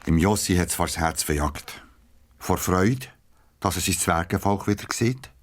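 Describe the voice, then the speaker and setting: A man's voice: deep voice, unhurried. A man with a Swiss German accent narrating a scripted children's story as its storyteller. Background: music.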